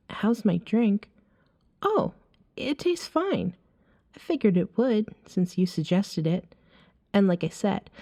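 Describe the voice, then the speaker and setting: A woman playing a flirty, self-assured female vampire in an ASMR roleplay, teasing a stranger at a bar in a soft-spoken, breathy way. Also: mid-range voice, dry recording, highs cut off. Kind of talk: ASMR roleplay, acted one-sided dialogue.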